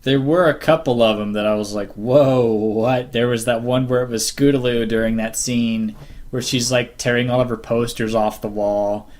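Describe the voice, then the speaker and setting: A man co-hosting a casual group podcast, talking haltingly as he searches for words. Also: mid-range voice, steady tone, darkish tone. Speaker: co-host of a fan podcast. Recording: clear background noise and clicks.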